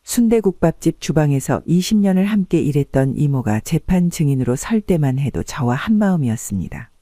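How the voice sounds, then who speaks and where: A man narrates a scripted audiobook story in the first person. His voice is fairly high and very mellow, with a lively melodic range. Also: fairly quick, no echo, clean studio sound.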